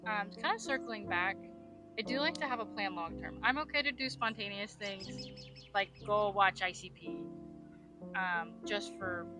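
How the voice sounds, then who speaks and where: A woman talks in a relaxed, frank way with a friend, casually dropping a swear. Her voice is mid-range, with music playing clearly behind her. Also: mid-range voice, normal speed. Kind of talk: casual chat.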